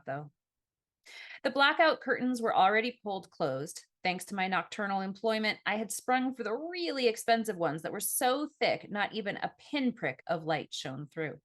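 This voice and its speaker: A woman narrating a first-person novel as an audiobook, with an expressive storytelling delivery. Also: medium pitch. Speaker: author reading own novel.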